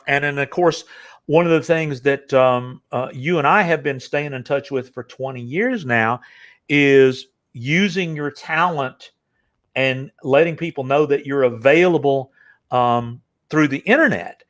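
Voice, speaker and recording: A man's voice: mid-range voice, measured pace, a bit bright. An older man hosting a casual interview, talking in long rambling stretches full of fillers and restarts. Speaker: interview host.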